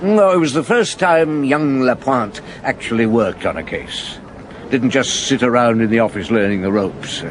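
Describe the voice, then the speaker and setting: An actor playing a seasoned, wry police chief inspector telling of old cases in a conversational radio drama. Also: male voice, mid-range voice, lively intonation, normal speed, background noise, close to an everyday voice. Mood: reminiscing.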